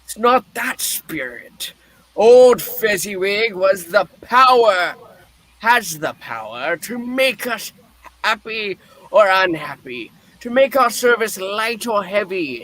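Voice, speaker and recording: Man acting a melodramatic old miser, high-pitched, slow, defensive, stage-play reading. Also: pushed and bright, exaggerated voice, poor audio. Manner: melodramatic, exclamatory. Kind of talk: theatrical amateur play reading.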